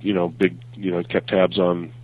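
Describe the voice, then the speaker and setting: A low-voiced man talks in a relaxed, chatty way, and a cold leaves his voice raspy. He keeps stopping to restart his sentences. The sound is narrow and tinny, as if over a phone call. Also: moderate pace. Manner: chatty, animated, with many fillers and restarts. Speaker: film graphic consultant and co-host. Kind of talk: casual podcast chat.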